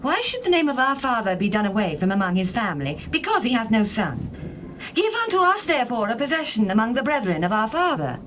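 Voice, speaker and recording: A woman's voice: fairly high pitch, big pitch swings, fast talker, fairly bright tone, poor audio. An actress playing an earnest daughter who pleads formally for her rights, in a dramatized scripture recording. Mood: earnest pleading. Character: bereaved daughter petitioning for her inheritance, earnest. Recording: faint noise on old tape.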